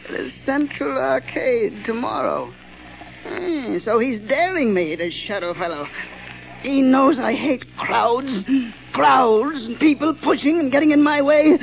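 A twitchy, deranged male killer in an old radio thriller. His high voice mutters fretfully and repeats itself in agitation. The recording is dull and muffled. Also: creaky, exaggerated voice. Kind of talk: 1940s radio drama acting.

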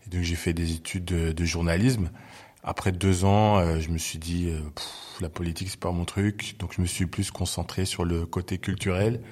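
A man in his forties with a very low voice tells his story as an interview guest. He talks loosely and easily, often stopping on fillers to find his words. He grew up in Belgium. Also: normal speed, slightly rough. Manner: relaxed, lots of hesitations. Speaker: guest, hip-hop journalist. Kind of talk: casual interview chat.

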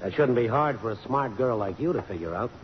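Casting: actor as flippant male news photographer, mid-pitched and animated, sardonic, vintage radio play with dull sound. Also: a little fast, over music, lightly acted. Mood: cocky. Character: cocky, wisecracking male press photographer, a determined suitor. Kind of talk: 1950s radio drama acting. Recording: muffled, noisy old radio recording.

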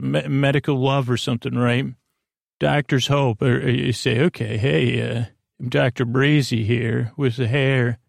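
Guy doing a sleepy-time podcast, lots of vocal fry, goofy wandering train of thought, keeps cutting himself off, super dry sound. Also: medium pitch, dry recording. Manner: meandering, halting, self-interrupting, goofy. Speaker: podcast host. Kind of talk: rambling bedtime monologue to help listeners sleep.

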